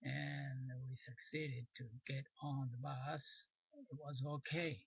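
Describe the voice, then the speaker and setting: A man's voice: medium pitch, little pitch movement, slow, vocal fry, slightly rough, dry recording, muffled recording. A man in his sixties from Japan with a Japanese accent, recounting his day in hesitant English to a webcam at home. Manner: hesitant, searching for English words. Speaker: vlogger. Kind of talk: casual spoken diary. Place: small cluttered room at home, indoors. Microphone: webcam facing him.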